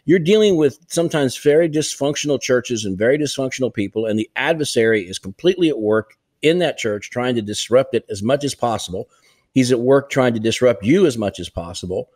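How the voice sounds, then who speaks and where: A man's voice: medium pitch, animated, brisk, a little mellow, dry recording. An older man speaking over a headset on a video call, co-hosting a casual podcast with earnest, emphatic encouragement. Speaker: church revitalization leader, co-host. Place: home room, indoors.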